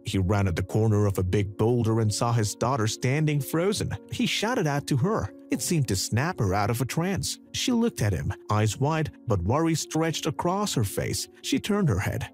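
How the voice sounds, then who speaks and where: A man's voice: moderate pace, few pauses. A man narrating a dramatic true story in a scripted voice-over. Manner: dramatic storytelling with animated pitch. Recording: narration over background music.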